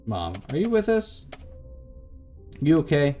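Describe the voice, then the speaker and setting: A man's voice: on the high side, wildly swooping pitch, slow pace, mellow, soft background music, pitched above the performer's own voice, exaggerated voice. A man voicing a worried, pleading grown daughter. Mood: concerned. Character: worried grown daughter caring for her failing mother.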